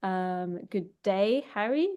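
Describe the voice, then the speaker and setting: Woman, discussion host. Thoughtful, informal moderating with halting, searching delivery; mid-pitched voice. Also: animated, clear, resonant tone, muffled recording. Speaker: host and moderator of an online talk.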